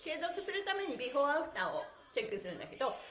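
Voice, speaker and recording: Casting: middle-aged woman, stretching instructor, high-pitched and cheerful, polite chatty delivery, recorded in a roomy space. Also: rapid-fire. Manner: animated, cheerful, very polite honorific wording, frequent chuckles. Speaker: stretching instructor and livestream host. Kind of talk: guided exercise instruction with counted chants and chatty asides. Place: small plain indoor room, sitting on a mat. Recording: roomy echo, faint music.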